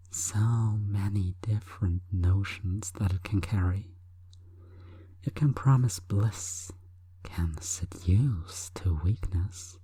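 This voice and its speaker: A woman hypnotist delivering an intimate sleep hypnosis monologue in a breathy, coaxing, deliberate whisper. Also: a bass voice, warm tone, no room sound.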